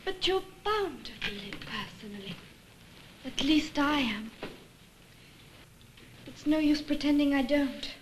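Casting: earnest junior nurse, high-pitched, hesitant, 1950s film sound with faint noise. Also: female voice, animated, unhurried, pauses often, rough recording. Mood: anxious, doubtful. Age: young adult. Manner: hesitant, candid. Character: earnest, uncertain young female nurse. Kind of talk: 1950s instructional film acting.

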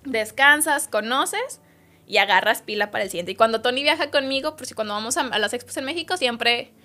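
Woman guest, kinda high voice, animated storyteller who acts out what people said. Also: brisk. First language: Spanish. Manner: lively storytelling, quotes others' words. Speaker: interview guest. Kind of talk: casual interview chat.

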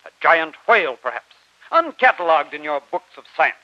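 An actor playing a stern, resolute navy commodore with an authoritative, declamatory manner in old-time radio drama. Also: male voice, on the high side, big pitch swings, brisk, sharp tone, no room sound, full character voice. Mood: grave. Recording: muffled dry old radio recording.

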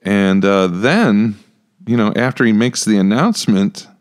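A man's voice: fairly low pitch, expressive, a little slow. A middle-aged American man podcast host talking casually and thinking aloud, close on a studio mic. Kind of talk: casual discussion. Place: small podcast room with acoustic panels. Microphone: close studio mic on stand.

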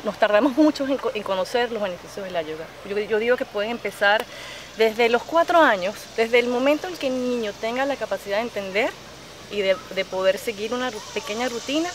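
Animated Venezuelan-accented woman outdoors. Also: on the high side, poor audio. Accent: Venezuelan Spanish. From Chile. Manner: animated, gestures with her hands, many restarts. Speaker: yoga instructor. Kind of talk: outdoor interview. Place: outdoors by a park lake. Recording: outdoor noise behind the voice.

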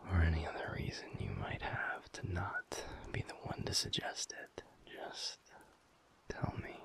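A man playing a tender, teasing alpha werewolf boyfriend in an intimate audio roleplay, murmuring softly in a breathy, gravelly whisper with pauses. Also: low-pitched, heavily acted. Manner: slow, soft, pausing, murmured.

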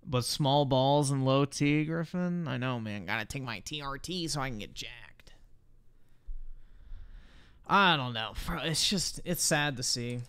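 A man's voice: medium pitch, animated, measured pace, a bit bright, clean tone. A man doing casual live-stream reaction commentary, blunt and slangy with frequent swearing. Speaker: livestreamer reacting to videos.

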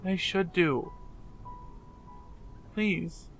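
A man's voice: sits high, extremely slow, vocal fry, fairly bright tone, soft background music, full character voice. A man playing an anxious, self-blaming young man in a fan dub drama, speaking earnestly and hesitantly. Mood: panicked, pleading.

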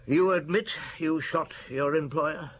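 An actor playing a middle-aged police detective in a radio drama, patient, deliberate and probing, with a husky voice. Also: male voice, fairly high pitch, fairly bright tone. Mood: insistent. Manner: deliberate, probing, grunting short replies.

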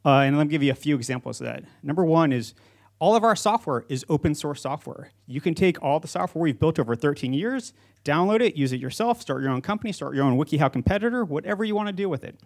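A man in his forties giving a prepared conference speech as a company founder, speaking into a handheld microphone on stage. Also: fairly high pitch. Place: stage in an auditorium with seated audience.

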